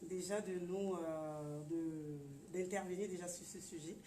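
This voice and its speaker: A woman hosting a talk show who listens attentively, answers with brief acknowledgments and asks gentle questions. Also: lowish voice, steady tone, measured pace. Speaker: host. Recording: echoey room, voice from far off, faint noise.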